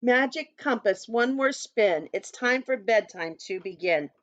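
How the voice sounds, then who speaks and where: A woman plays a hearty storybook grandfather who leads children on magical adventures. The voice is high and the delivery rousing and exclamatory, taken at an unhurried pace. The sound is a little muffled. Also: expressive, no room sound. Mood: playful incantation.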